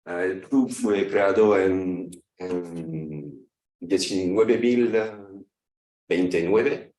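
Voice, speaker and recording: Deep-voiced French man lecturing haltingly. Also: vocal fry, darkish tone, roomy sound, far from the mic, low-quality recording. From France. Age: 30s. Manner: halting, frequent self-corrections. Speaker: guest lecturer. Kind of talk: academic talk.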